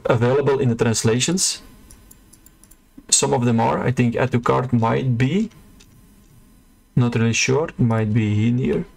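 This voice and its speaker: A man's voice: medium pitch, low-quality recording. A man talking through a screen-recorded coding tutorial in a casual, unscripted way, with frequent fillers and restarts. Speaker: web developer tutor.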